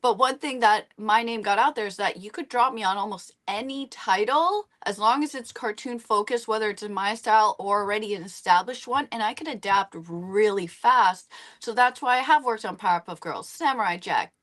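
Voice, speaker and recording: A middle-aged woman chatting casually as an interview guest, animated, chatty and a little self-deprecating. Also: mid-range voice, moderate pace, few pauses. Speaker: interview guest, comic book artist.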